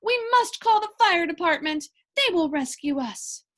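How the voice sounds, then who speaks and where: A woman's voice: very high voice, animated, unhurried, pitched above the performer's own voice. A woman voicing a blustering, nervous husband in a children's story, with emphatic exclamations. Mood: insistent.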